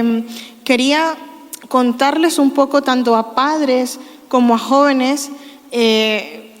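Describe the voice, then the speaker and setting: A woman in her thirties hosting a church youth panel, chatty and jokey, exclaiming often. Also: high voice. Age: 30s. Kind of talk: casual church talk. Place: church hall. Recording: long hall reverb.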